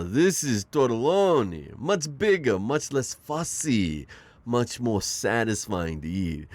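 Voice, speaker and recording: A man's voice: fairly low pitch, dry recording, full character voice. A man playing a charming, smitten mafia boss in an ASMR roleplay, flirting in a hesitant, rambling murmur.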